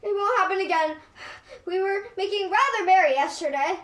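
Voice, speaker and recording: Woman playing a very high-voiced, meek office clerk. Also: animated, moderate pace, reverberant. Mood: apologetic, timid. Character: meek, grateful office clerk Pepper Scratchit. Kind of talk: amateur radio play acting.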